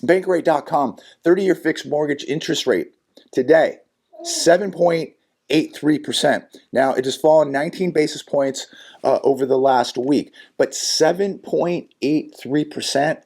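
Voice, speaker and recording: Raspy-voiced man giving earnest warnings. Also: on the high side, unhurried, a little mellow. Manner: earnest, cautionary, with frequent fillers and repeated words. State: hoarse, raspy voice from sinus infection. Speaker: channel host and economy commentator. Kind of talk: solo commentary vlog.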